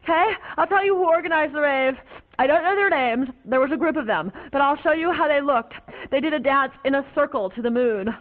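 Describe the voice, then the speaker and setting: A woman playing a high, paranoid young raver rambling dreamily to police officers, her voice tinny and lo-fi. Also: animated, fairly quick. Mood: spaced-out. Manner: dreamy, babbling, whimpering. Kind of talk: comic stage monologue. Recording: tinny phone-like lo-fi recording.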